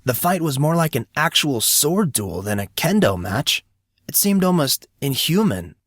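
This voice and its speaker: A man's voice: fairly high pitch, sing-song, a little slow, a bit bright, breathy edge, dry recording, studio quality, pitched above the performer's own voice, heavily acted. A man voicing a proud, indignant teenage boy with slangy bro-talk in an audiobook story. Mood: troubled.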